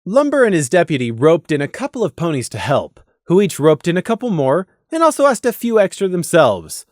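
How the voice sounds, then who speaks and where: A man's voice: fairly high pitch, very animated, fairly quick, darkish tone, no echo, studio quality. A man narrating a scripted fantasy story dramatically, as an audiobook narrator.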